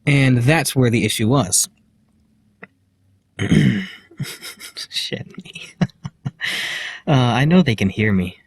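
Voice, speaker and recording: A young man vlogging outdoors into a handheld phone. He tells a personal story in a quiet voice, full of fillers and self-deprecating nervous laughs. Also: medium pitch, expressive, slow, long pauses, breathy edge. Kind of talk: rambling personal story to camera. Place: outdoors, walking in public.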